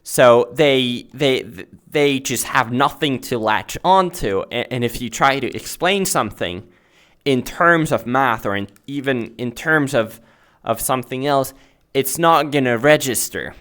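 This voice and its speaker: Slow, halting man teaching, native Finnish speaker. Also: mid-range voice, fairly bright tone. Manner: halting, searching for words, often checking with "right?". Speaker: host and math teacher. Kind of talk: informal explanatory lesson. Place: virtual reality chat world.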